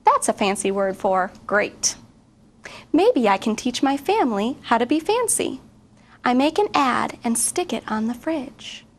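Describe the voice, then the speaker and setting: A middle-aged woman teacher reading a picture book aloud to children, expressive and asking young listeners questions. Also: fairly high pitch, measured pace. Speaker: guest reader, teacher.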